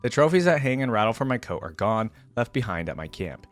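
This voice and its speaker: A man's voice: medium pitch, very animated, brisk, faint music behind. A man hosting a reaction video, reading a dramatic story aloud and adding casual commentary.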